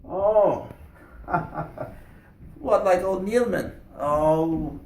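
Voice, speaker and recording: A man acting the reluctant, stammering grandpa in a village folk tale, told aloud in an echoey room with lively swings. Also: high-pitched, measured pace, vocal fry, pitched above the performer's own voice, full character voice. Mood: dismissive, flustered. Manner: hesitant, repeats himself. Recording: roomy, echoey, distant mic, faint noise.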